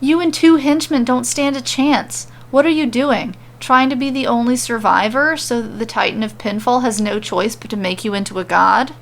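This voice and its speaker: A woman's voice: a little high, normal speed, hardly any pauses, close to an everyday voice. A woman voicing an exasperated, sarcastic fire mage heroine in an audio story, indignant in manner.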